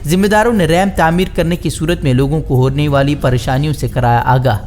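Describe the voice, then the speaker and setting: A man's voice: on the high side, brisk, darkish tone, a little hiss or hum. A man reading scripted speed news headlines as a news presenter. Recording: background music under the voice. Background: news background music.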